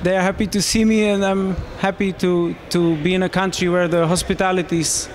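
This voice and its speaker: A man in his thirties from Romania, speaking English, answering interview questions into a handheld mic in a small room, with halting phrasing and frequent restarts. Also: sits high, little pitch movement, slight background noise. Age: 30s. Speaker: marathon runner interviewee.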